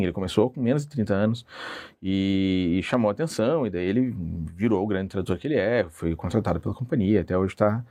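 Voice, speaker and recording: A man's voice: lowish voice, dark tone. A man, a translator telling his story in an interview, hesitant and self-deprecating with many restarts. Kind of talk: relaxed conversational storytelling.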